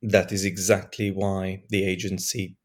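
A man from Europe, a guest on a podcast, speaking in a hesitant way with many restarts and fillers, his voice still hoarse from being ill. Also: low-pitched, level tone, measured pace. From Eastern Europe. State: hoarse, recovering from lost voice. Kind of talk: casual conversation.

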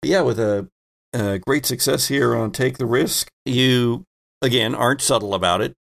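A man's voice: mid-range voice, moderate pace, vocal fry. Man narrating a tabletop game. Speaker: game master, host.

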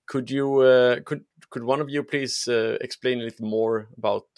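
A man's voice: mid-range voice, steady tone, a little mellow, no echo, studio quality. A man hosting a podcast, chatting casually and often restarting his sentences. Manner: halting, repeats words. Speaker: podcast host. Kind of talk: casual interview chat.

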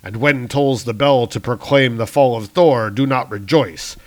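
A man's voice: mid-range voice, a little slow, lightly acted. A man voicing a noble, righteous thunder god in a comic-book story, with solemn declamatory archaic speech. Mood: solemn defiance.

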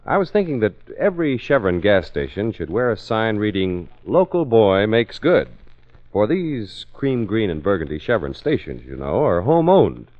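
Male American 1940s radio announcer, scripted delivery, low and animated, dull vintage recording. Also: normal speed.

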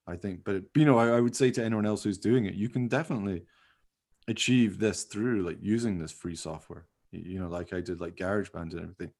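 A man's voice: low voice, steady tone, fast, a little mellow. A man chatting casually and enthusiastically as a podcast host about his creative work, in a rambling way. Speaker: podcast host and amateur radio play producer. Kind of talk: casual chat about making a radio play.

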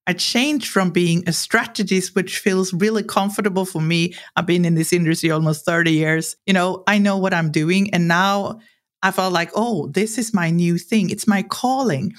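A woman's voice: lowish voice, moderate pace. A woman in her forties from Sweden with a Swedish accent, speaking English as a second language as a podcast guest, telling personal stories candidly and acting out what people said. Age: 40s. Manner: animated, acts out quoted lines, laughs while talking. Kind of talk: candid conversational storytelling.

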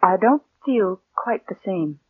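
An American actress playing a cold, calculating murderess who passes as meek, in controlled, deliberate mid-century radio suspense style. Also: female voice, mid-range voice, lively intonation, slightly rough, no room sound. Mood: sweetly sinister. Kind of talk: 1940s-50s American radio suspense acting and narration. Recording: muffled vintage radio recording.

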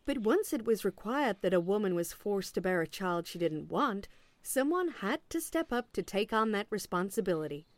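A woman's voice: medium pitch, big pitch swings, normal speed, no room sound, close to an everyday voice. A woman acting in an audio play as an officious agent, politely relentless and salesy, with veiled sarcasm. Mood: coolly pointed.

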